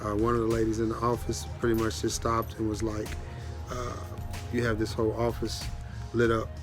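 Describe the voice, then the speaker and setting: Congested man with a flat voice. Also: on the low side, moderate pace, music in the background. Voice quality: stuffy nasal voice. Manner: relaxed, rambling, with many ums and restarts. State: congested from sinus infection. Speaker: fragrance reviewer, channel host. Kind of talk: casual update to viewers.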